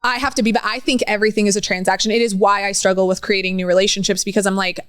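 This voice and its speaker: Young female podcast co-host, animated and chatty, mid pitch, dry. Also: racing, clean tone, no room sound. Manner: animated, laughs often, quotes herself in raised voice. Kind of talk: casual chat.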